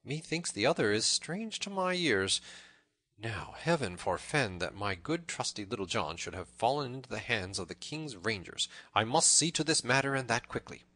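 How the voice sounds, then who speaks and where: A man's voice: mid-range voice, animated, moderate pace, pushed and bright, no echo. A man voicing a watchful, concerned outlaw leader musing to himself in old-fashioned storybook speech. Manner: musing, archaic self-talk.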